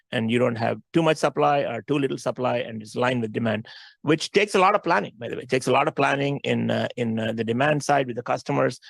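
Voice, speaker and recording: A male company executive explains things on an earnings call, repeating himself for emphasis. His pace is quick and his voice gravelly, with a dry, close sound. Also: medium pitch, no room sound.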